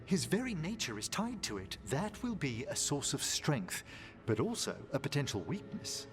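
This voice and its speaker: Brainy careful mage, highish very melodic voice, game scene with soft music. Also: male voice, wildly swooping pitch, normal speed. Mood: pensive. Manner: analytical, careful. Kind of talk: dramatic video game dialogue. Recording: faint music behind the voice.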